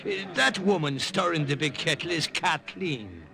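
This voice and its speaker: A bright, lilting Irish priest. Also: male voice, on the high side, lively intonation, normal speed, gravelly, full character voice. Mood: genial, hearty. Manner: chuckling, genial, lilting. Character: jovial, kindly Irish missionary priest in the jungle. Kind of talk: old-time radio drama acting. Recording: vintage radio recording.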